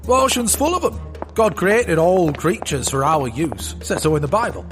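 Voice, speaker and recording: A pompous whaling baron, played by a male actor in a comic audio drama. His voice sits a little high and leaps around as he blusters and dismisses people. He is crude and smug, with music playing behind him. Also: big pitch swings, moderate pace. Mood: brash, defiant. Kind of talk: comedic audio drama acting.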